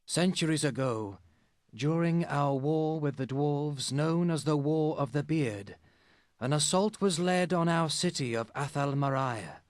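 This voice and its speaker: An actor playing a solemn, scholarly male elf in a fantasy video game, speaking formally and with measured gravity. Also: fairly high pitch, dry recording, studio quality, natural voice.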